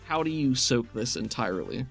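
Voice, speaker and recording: A man's voice: medium pitch, big pitch swings, moderate pace, gravelly, husky, soft background music. A man running a tabletop roleplaying game, moving between descriptive narration and casual rules talk. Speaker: game master.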